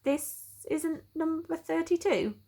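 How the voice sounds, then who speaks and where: A woman voicing an eager, easily exasperated party guest in a storybook, insistent and expressive. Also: very high-pitched, slow pace, pitched above the performer's own voice, full character voice. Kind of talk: storybook read-aloud character.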